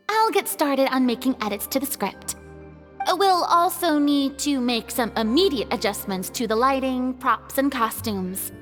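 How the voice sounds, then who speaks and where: A lively, bossy young director. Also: female voice, high-pitched, in a large hall, heavily acted. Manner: energetic and commanding, quick to organize. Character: eager young woman directing a film. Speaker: film director.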